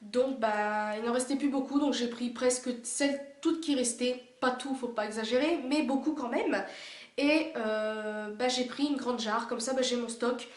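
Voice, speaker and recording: A woman's voice: a little high, fairly even intonation, moderate pace, reverberant. A young woman vlogger with a cold, chatting casually and gushing, with lots of fillers. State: has a cold. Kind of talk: casual chat showing a collection. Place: indoor room by a window.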